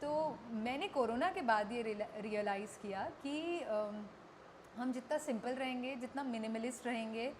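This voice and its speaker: Female radio jockey as interview guest, Indian accent, higher register, reflective and conversational, light background noise. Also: normal speed. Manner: reflective, mixes Hindi with English phrases.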